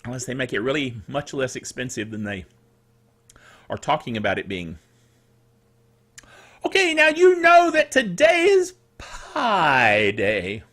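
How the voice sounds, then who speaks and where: A man hosting a casual tech news show in a chatty, jokey, digressive way, with a throat that is getting rough. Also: medium pitch, wildly swooping pitch, measured pace, pauses often, pushed and bright. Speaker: technical director for radio stations. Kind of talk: casual scripted tech news commentary with asides.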